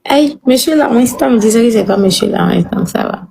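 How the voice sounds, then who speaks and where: Mellow, creaky-voiced woman chatting. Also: fairly high pitch, lively intonation, a little fast, slight background noise, low-quality recording. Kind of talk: intimate casual conversation.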